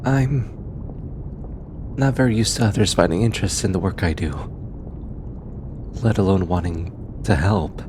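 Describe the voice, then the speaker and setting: A man voicing a gentle, teasing alien researcher in an intimate ASMR roleplay, hesitant and affectionate. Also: deep voice, moderate pace, long pauses, noisy background, natural voice. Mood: shy, grateful. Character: gentle, teasing, grateful male alien researcher aboard spacecraft.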